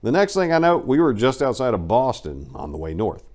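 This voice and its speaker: A man giving a dramatic first-person reading of a memoir, telling it in a wry, animated storyteller's way. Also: mid-range voice, brisk. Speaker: audiobook narrator.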